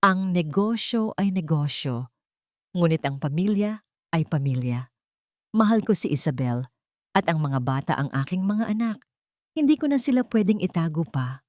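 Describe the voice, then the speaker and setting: A woman's voice: fairly low pitch, animated, normal speed, pauses often, no room sound, natural voice. Woman voicing a firm, earnest young businessman, dry sound. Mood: determined. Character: determined, devoted young businessman in a romance drama.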